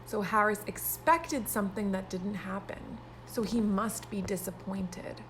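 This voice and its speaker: Slow-paced woman reading to young children. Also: mid-range voice, slight background noise, low-quality recording. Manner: expressive, explanatory, simple wording for young children. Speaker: pre-kindergarten teacher reading aloud. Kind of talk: children's story read-aloud with explanations.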